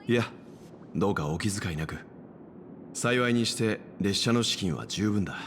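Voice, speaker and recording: A man voicing a reserved, courteous, mature traveler in a game dub, calm and polite. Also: lowish voice, fast, slight background noise, natural voice. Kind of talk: scripted Japanese game dub acting.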